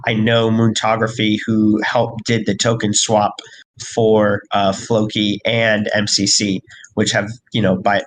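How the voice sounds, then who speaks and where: A man from a crypto project team giving a casual update in a live voice chat, hoarse from recent illness and rambling with lots of fillers and restarts. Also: on the low side, completely flat delivery, unhurried, a little hiss or hum, rough recording. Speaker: crypto project team member hosting an AMA.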